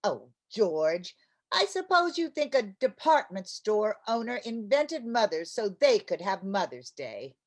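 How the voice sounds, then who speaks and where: A woman's voice: mid-range voice, very animated, unhurried. A woman playing a playful, mushy housewife in an old-time radio comedy, with a coaxing, theatrical delivery. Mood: wounded, romantic.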